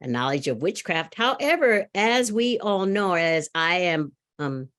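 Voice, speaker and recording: Lively low-voiced woman preaching. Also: a little slow. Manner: loud, animated, laughs often. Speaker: speaker at a church service. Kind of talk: sermon talk with a story.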